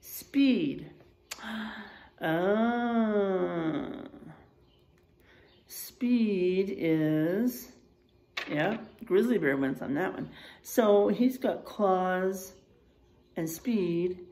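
A woman's voice: mid-range voice, extremely slow, pauses often. A woman reads aloud to children like a teacher, expressively, encouraging them and putting questions to them. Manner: expressive, encouraging, addresses young listeners with questions. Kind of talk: animated children's read-aloud with asides to listeners. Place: small unfinished room or garage with drywall, indoors.

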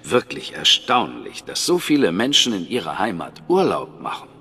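A man's voice: fairly low pitch, huge pitch swings, moderate pace, bright, forceful tone, husky, heavily acted. A man voicing a suave, menacing English intelligence officer with formal, mocking politeness in a German video game. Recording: reverberant hall, music behind.

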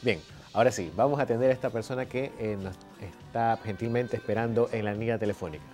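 A man's voice: lowish voice, fast, a little mellow, faint music behind. A man in his forties hosting a TV health show in a studio, courteous and a little hesitant, on a lapel mic. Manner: courteous, hesitant, many fillers. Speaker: TV host.

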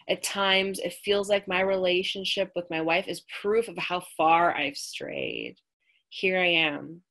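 A woman in her thirties with an American accent, reading her own personal essay aloud in a measured, reflective way over a webcam at home. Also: low-pitched, a bit bright. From United States. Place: small room at home, indoors. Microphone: webcam on a video call.

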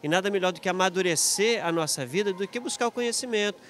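A man's voice: high voice, fast talker, fairly bright tone, faint music behind. A man speaking earnestly in an event interview, searching for words as he reflects, into a handheld reporter mic. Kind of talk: reflective vox pop interview.

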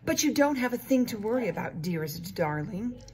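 A woman voicing a firm, resolute father in a children's story, speaking deliberately and emphatically. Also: a little high, big pitch swings, darkish tone. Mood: reassuring. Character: firm, resolute father placating his daughter. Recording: noticeable room noise.

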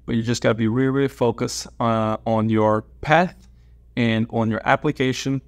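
A man's voice: mid-range voice, steady tone, normal speed. A man in his thirties from Brazil, a native Portuguese speaker, giving advice to camera as a dentist and educator, seated at a desk in a small office on a lapel mic, gesturing with open hands and repeating his main points.

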